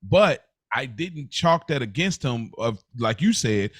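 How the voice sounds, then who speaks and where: A man's voice: mid-range voice, big pitch swings, unhurried, fairly bright tone, no echo, clean studio sound. An American man co-hosting a podcast, debating casually in a slangy, conversational way and often interjecting. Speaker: podcast co-host. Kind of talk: casual debate between friends.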